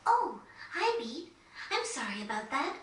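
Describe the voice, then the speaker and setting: A woman playing a gentle, polite and earnest young teenage girl in a video game, speaking courteously. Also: sits high, very animated, unhurried, reverberant, recorded from a distance, a little hiss or hum, heavily acted. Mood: grateful, subdued. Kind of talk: video game cutscene acting.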